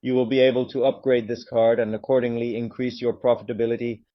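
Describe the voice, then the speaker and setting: Man, mid-pitched voice, game walkthrough, hesitant fillers, dry muffled audio. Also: flat delivery, quick pace, no room sound. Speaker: game presenter.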